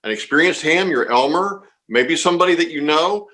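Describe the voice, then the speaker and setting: Male interviewee, slightly high voice, informal explainer, reassuring, repeats himself. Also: lively intonation, fairly quick, bright, forceful tone. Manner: reassuring, repeats words and phrases. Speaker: amateur radio contest organizer being interviewed.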